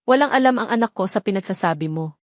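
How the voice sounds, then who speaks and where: A woman's voice: medium pitch, lively intonation, quick pace, no room sound, studio quality, natural voice. Woman voicing a stern, scolding older aunt. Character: stern, indignant older aunt, protective mother.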